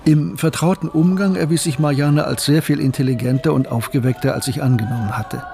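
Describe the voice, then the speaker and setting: A man acting as a reflective student who recalls his life in a measured, literary radio drama narration. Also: on the high side, steady tone, creaky, noisy background. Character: Gustav, reflective male mathematics student recalling his past. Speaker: narrator and protagonist. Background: music.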